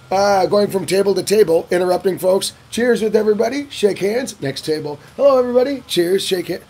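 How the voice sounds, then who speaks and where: A man casually answering viewer questions in a vlog, telling stories in an animated way and acting out other people's lines. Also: gravelly, breathy edge. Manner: animated storyteller who acts out locals' lines in a higher voice. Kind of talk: casual talking-head Q&A.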